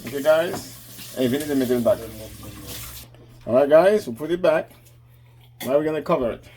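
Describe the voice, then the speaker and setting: A man's voice: on the high side, moderate pace, dark tone. A man casually talking viewers through a home cooking vlog, chatty and addressing them directly. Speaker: cooking vlogger.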